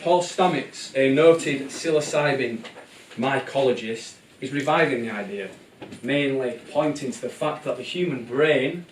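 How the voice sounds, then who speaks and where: A man playing a whimsical, excitable storyteller, narrating a puppet show theatrically. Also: medium pitch, measured pace, slight background noise, natural voice. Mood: playful. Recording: distant, echoey live show bootleg with music.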